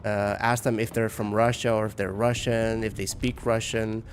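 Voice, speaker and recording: A man's voice: lowish voice, level tone, moderate pace, a little hiss or hum. A man from Lithuania with a Lithuanian accent, a game streamer giving casual, rambling and somewhat hesitant commentary and chat. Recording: music behind the voice. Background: music.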